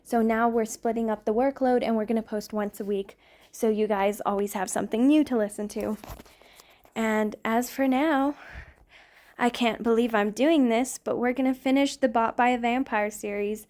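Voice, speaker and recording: Higher-pitched woman making casual channel announcements. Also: fairly even intonation, normal speed, darkish tone. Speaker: ASMR channel creator.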